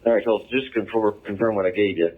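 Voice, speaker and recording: A man's voice: fairly low pitch, fairly even intonation, quick pace, breathy edge. An American man working as a police officer, speaking over the radio and spelling out codes with small hesitations. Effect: police radio transmission. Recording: narrow phone-like police scanner radio.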